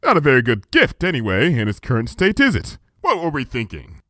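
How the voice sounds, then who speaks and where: A man's voice: mid-range voice, wildly swooping pitch, fairly quick, sharp tone, no room sound, studio quality, exaggerated voice. A man voicing a haughty pony princess in a storybook reading, declaiming grandly in archaic royal speech. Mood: regal, insistent. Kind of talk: storybook fan fiction character reading.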